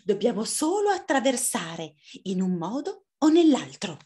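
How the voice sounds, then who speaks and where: A woman voicing a small, bossy, hot-tempered storybook fox in a shrill, emphatic way. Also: very animated, measured pace, dark tone. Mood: bossy, determined. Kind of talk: children's storybook character voice.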